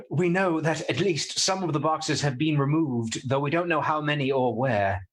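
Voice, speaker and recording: A man's voice: a little high, normal speed, close to an everyday voice. A man playing an earnest, matter-of-fact solicitor in a radio play.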